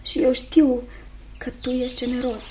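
An actress playing a sweet, earnest, unhappy young fiancée in a Romanian radio play, speaking tenderly and hesitantly. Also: female voice, high voice, completely flat delivery, noisy background, close to an everyday voice. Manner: tender, hesitant, repeats phrases, seeks agreement. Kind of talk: Romanian radio theatre acting.

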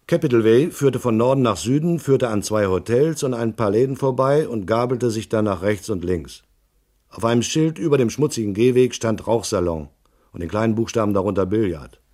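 An actor playing a hard-boiled, laconic detective in a noir radio drama, narrating in a terse, deadpan way. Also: male voice, fairly low pitch, natural voice. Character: hard-boiled male private detective, laconic and world-weary.

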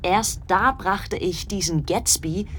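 An actress playing a cool young society woman in a radio play, confiding secrets in a measured, hushed way. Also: mid-range voice, a little hiss or hum.

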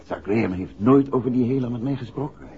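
An actor in a 1960s Dutch radio drama, playing a composed, courteous and astute gentleman detective who talks in polite, measured questions. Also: mid-range voice, creaky, natural voice. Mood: calm, cautioning. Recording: dull, noisy 1960s radio recording.

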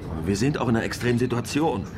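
An actor playing a stubborn, down-to-earth middle-aged factory worker in a naturalistic radio drama. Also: male voice, mid-range voice, animated, very fast, background noise, natural voice. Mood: earnest, determined.

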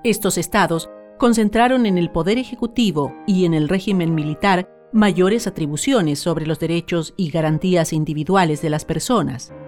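Faint music plays under a Chilean woman narrator with a fairly low voice. She reads a scripted radio documentary in a formal, solemn way. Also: normal speed, hardly any pauses, a little mellow.

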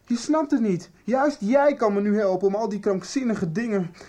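Radio soap role: emotional, torn teenager, played by a woman, husky voice at a middling female pitch. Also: moderate pace, warm tone, natural voice. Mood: pleading, frustrated. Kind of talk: Dutch radio soap acting.

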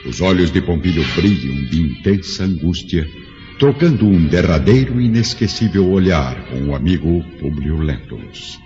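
An actor serving as the grave, dramatic narrator of a scripted radio drama. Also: male voice, low voice, expressive, normal speed. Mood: grave, tense. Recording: dull radio-drama recording, music behind.

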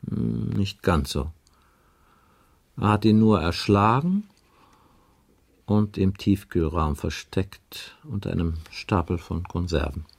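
An actor playing a blunt, world-weary police inspector in a radio crime drama, speaking in a matter-of-fact, sardonic and deliberate way. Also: male voice, deep voice, gravelly, husky, heavily acted. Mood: sombre. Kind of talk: 1980s German radio crime drama acting.

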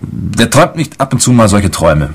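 An actor playing an eccentric, unworried client in a comic radio play, husky and matter-of-fact about absurd things. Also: male voice, lowish voice, very animated, normal speed, exaggerated voice. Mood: dismissive. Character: eccentric male client, unworried, defending his crazy brother.

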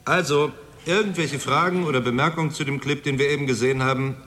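A man's voice: on the high side, fairly even intonation, fast, a bit bright, slight background noise, natural voice. A man playing a vain, condescending film director in a radio drama, speaking with self-importance. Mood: self-important. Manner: pompous, condescending. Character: vain, pompous film director lecturing at a college.

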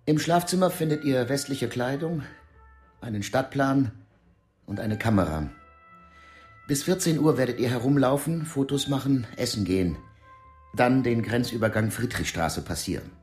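An actor playing a calm underground fixer in a radio drama, speaking in a matter-of-fact, articulate, lecturing way. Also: male voice, mid-range voice, moderate pace, faint music behind, close to an everyday voice. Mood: brisk, instructing. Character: calm, businesslike underground fixer instructing fugitives in divided Germany.

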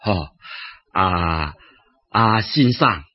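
Storybook old man played by a male narrator: husky Cantonese, courteous, humble, halting; dull lo-fi recording. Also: lowish voice, expressive, pitched below the performer's own voice, full character voice. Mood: courteous, genial. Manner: halting, chuckling, polite old-fashioned speech. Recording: dull lo-fi radio-style recording.

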